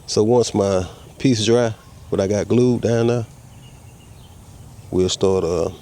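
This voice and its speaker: A man's voice: lowish voice, fairly even intonation, slow, warm tone. A man with a head cold, casually explaining a car repair step by step as he works outdoors. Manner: easygoing, talks while working, explains each tool. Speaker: custom car restorer demonstrating a repair. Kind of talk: casual step-by-step how-to narration.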